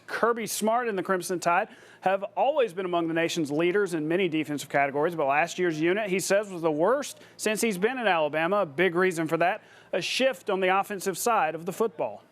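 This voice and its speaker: High-pitched American male sports anchor. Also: expressive, a little fast. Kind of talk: scripted sports broadcast narration.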